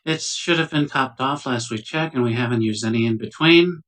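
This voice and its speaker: A man playing an assertive starship medical officer in a tabletop game, brisk and matter-of-fact. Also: medium pitch, a bit bright, close to an everyday voice. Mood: businesslike.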